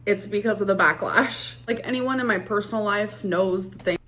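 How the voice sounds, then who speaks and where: A woman's voice: mid-range voice, normal speed, a bit bright. A chatty woman vlogger who gushes while answering fan questions. She fills her talk with casual fillers, and a faint background hiss sits under her voice. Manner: gushing, lots of "like" fillers.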